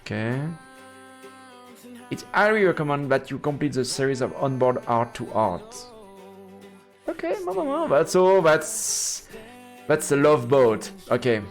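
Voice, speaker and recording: Animated, creaky-voiced man streaming. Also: medium pitch, unhurried, long pauses. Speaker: streamer reading a visual novel aloud. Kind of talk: live let's play, reading narration with reactions. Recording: background music under the voice. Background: game music.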